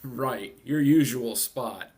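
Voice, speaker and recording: Man voicing a scornful, slow-spoken warrior woman. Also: mid-range voice, a little mellow. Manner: sarcastic. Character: scornful young woman warrior of royal guard. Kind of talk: dramatic comic book table read.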